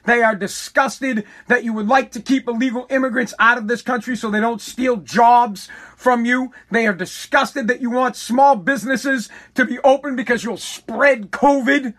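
A man with a very high speaking voice, worn hoarse from shouting. He rants in a bombastic, rambling way, tripping over his words and repeating himself as he hosts a comedy podcast. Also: fairly even intonation, normal speed. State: hoarse, lost voice. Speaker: podcast host. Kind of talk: comedic political rant.

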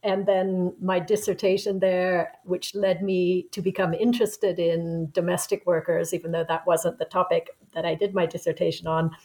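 Low-voiced woman in her sixties, reflective. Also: flat delivery, a little mellow, poor audio. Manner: reflective, unhurried storytelling. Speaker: guest author, anthropology professor. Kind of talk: conversational interview answer.

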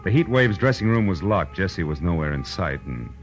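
An actor playing a tough, wry private detective in 1940s radio noir style, narrating and talking sardonically. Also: male voice, low-pitched, sing-song, moderate pace, highs cut off. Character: wry, hard-boiled male private detective. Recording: old radio show, music underneath.

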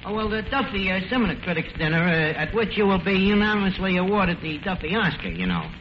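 An actor playing a brash, self-important tavern manager in a 1940s radio comedy, slangy bad grammar and mock-grand words. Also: male voice, sits high, sing-song, quick pace. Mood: bustling, evasive. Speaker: comedy show host. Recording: dull, noisy old radio broadcast.

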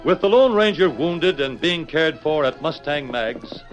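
A man's voice: on the high side, lively intonation, a little slow, loud music behind the voice, low-quality recording. A man with an American accent narrating a western in grand, declamatory 1940s radio style. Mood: urgent storytelling. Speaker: announcer and narrator.

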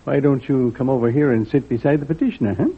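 Casting: genial, deliberate judge, husky male voice, old radio drama, thin narrow-band recording. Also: mid-range voice. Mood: genial, formal. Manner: genial, measured, hemming. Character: kindly, avuncular, deliberate male court judge. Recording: tinny, muffled vintage radio recording.

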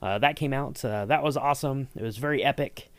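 A man's voice: medium pitch, a little fast, dry recording. A man hosting a podcast in a casual, enthusiastic interview chat. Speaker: podcast host.

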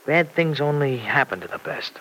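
Radio serial role: loyal, defensive young American man, forceful, animated, colloquial. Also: a little high, moderate pace. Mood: somber. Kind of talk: old-time radio serial acting. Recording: noisy old radio broadcast recording.

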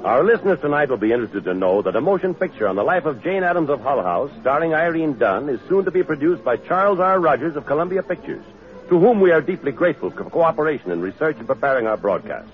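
A male announcer from old-time radio, formal and polished. He talks quickly with a very lively pitch, through a muffled broadcast recording. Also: faint music behind. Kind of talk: 1940s scripted radio announcing.

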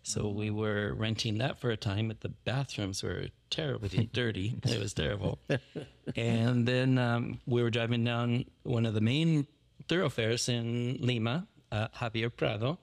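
A man's voice: medium pitch, steady tone, a little slow, gravelly. A man who plants churches as a missionary, telling his story in a podcast interview with many fillers and restarts.

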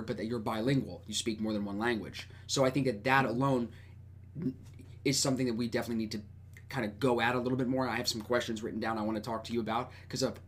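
A man hosting a podcast, talking in a relaxed, friendly way with lots of casual filler words. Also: mid-range voice. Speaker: podcast host.

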